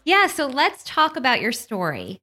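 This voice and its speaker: A woman's voice: high-pitched, huge pitch swings, moderate pace, pushed and bright, faint music behind. A woman hosting a parenting podcast, reading a scripted introduction in a friendly, conversational way and then interviewing a guest. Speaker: podcast host.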